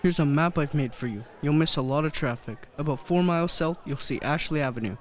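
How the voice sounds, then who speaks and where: A man playing a kindly, gentle old Santa Claus figure in a radio play. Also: fairly high pitch, a little fast, natural voice.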